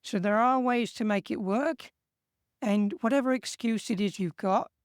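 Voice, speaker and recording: A man from England with an English accent, co-hosting a casual chat and giving steady, earnest encouragement. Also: sits high, moderate pace, clear, resonant tone, no echo, clean studio sound. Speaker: co-host.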